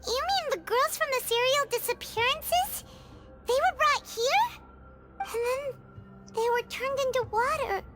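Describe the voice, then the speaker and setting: A woman voicing a tiny, excitable, self-important fairy companion in a video game, with a squeaky childlike voice and theatrical, exclamatory delivery. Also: a little slow. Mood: dismayed. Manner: exclamatory, theatrical, refers to self in third person. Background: music.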